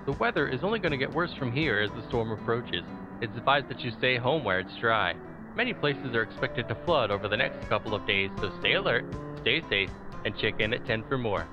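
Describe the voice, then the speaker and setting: A man's voice: mid-range voice, lively intonation, brisk. A man as a muffled broadcast news reporter. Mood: urgent, formal. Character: male news reporter giving a storm warning. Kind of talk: broadcast news delivery in an audio drama. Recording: dull band-limited broadcast sound, loud music.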